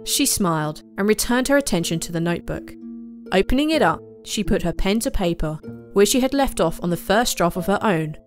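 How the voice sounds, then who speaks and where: A woman's voice: medium pitch, lively intonation, fairly quick, faint music behind. A woman narrator reading a scripted story.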